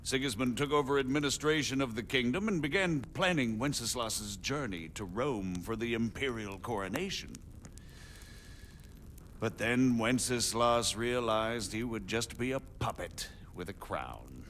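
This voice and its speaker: Diplomatic medieval nobleman, lively intonation. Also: mid-range voice, a little hiss or hum. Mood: measured, wry. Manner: formal, deliberate, explanatory. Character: diplomatic medieval margrave, measured and politically shrewd. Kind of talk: dramatic video game cutscene acting.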